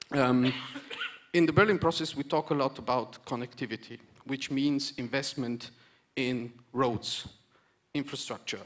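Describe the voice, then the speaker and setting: A man addresses a conference panel in English. He hammers home his points with repeated phrases and stumbles into the occasional restart. His voice sits at an ordinary, middling pitch. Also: fairly bright tone. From Romania. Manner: earnest, repetitive emphatic phrasing with hesitant restarts. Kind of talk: conference speech.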